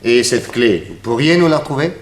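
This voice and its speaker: An actor playing a calm, shrewd detective in a 1960s French radio drama, probing and methodical, politely commanding. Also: male voice, medium pitch, a little fast. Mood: questioning. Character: calm, shrewd male detective leading an inquiry.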